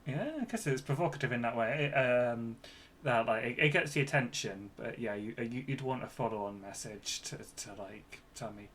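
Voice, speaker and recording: A man co-hosting a podcast, reacting casually in a halting, mildly wry way. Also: mid-range voice, a bit bright. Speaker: podcast co-host. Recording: clear background noise.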